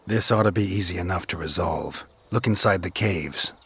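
An actor playing a gruff, stoic male monster hunter for hire in a video game. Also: low-pitched, moderate pace, fairly bright tone, heavily acted. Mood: stoic, matter-of-fact.

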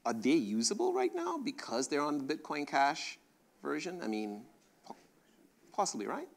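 A man's voice: a little high, huge pitch swings, normal speed, vocal fry. A man moderating a conference panel informally, putting questions to the speakers. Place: conference room.

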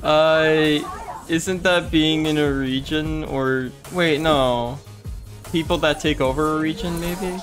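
A woman's voice: low-pitched, fairly even intonation, slow, soft background music. A young woman gamer in casual chat over a game, with sweary, joking banter.

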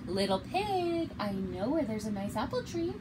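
A woman's voice: medium pitch, sing-song, moderate pace, background noise, close to an everyday voice. Woman playing a sly, sing-song storybook big bad wolf. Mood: coaxing. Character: wheedling, menacing male big bad wolf. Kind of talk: children's storybook read-aloud.

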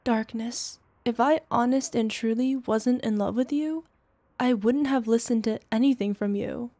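A woman acting in an ASMR roleplay as a devoted, playful older girlfriend, with a soft, tender, teasing manner. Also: a little high, unhurried, no room sound. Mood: concerned, tender. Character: devoted, playful, smitten older girlfriend soothing her lover.

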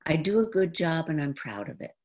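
An American woman in her seventies performing an autobiographical monologue in deliberate, dramatic phrases with pauses. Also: deep voice, sing-song, a little mellow. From New York, United States. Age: 70s. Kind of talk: theatrical autobiographical storytelling monologue. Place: indoor room at home. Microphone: computer mic on a video call.

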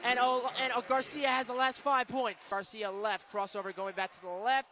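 A man calling basketball play-by-play on the radio, with excitable, punchy calls. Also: very high voice, moderate pace. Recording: arena crowd and court noise behind. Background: basketball game in an arena.

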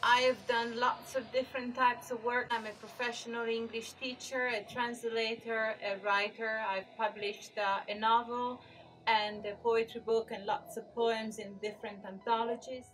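A woman's voice: fairly high pitch, level tone, normal speed, a bit bright. A woman from northern Italy with an Italian accent, an English teacher and writer introducing herself outdoors. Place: outdoor garden. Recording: voice from a distance.

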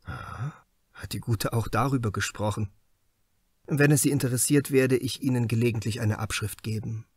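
A man's voice: mid-range voice, moderate pace, husky, dry recording, clean studio sound, close to an everyday voice. A man voicing an idealistic, embittered writer in an audiobook, speaking in an earnest, opinionated way. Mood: wry, resigned. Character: idealistic, embittered young male writer under suspicion. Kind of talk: audiobook character dialogue.

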